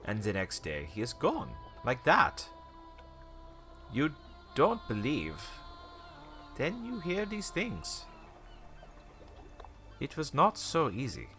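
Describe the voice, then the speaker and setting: An actor playing a haunted, bitter old small-town shopkeeper in a radio play, telling an ominous tale haltingly with theatrical rhetorical questions. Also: male voice, medium pitch, huge pitch swings, sharp tone, soft background music, heavily acted. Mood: haunted, urgent. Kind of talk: dramatic radio play monologue.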